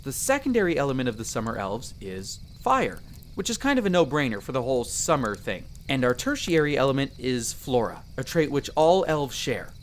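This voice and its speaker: A man playing a friendly, earnest young elf explorer in roleplay audio, explaining things chattily with hesitant pauses. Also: medium pitch, big pitch swings, slight background noise, close to an everyday voice. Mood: proud, explaining. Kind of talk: character roleplay audio addressed to the listener.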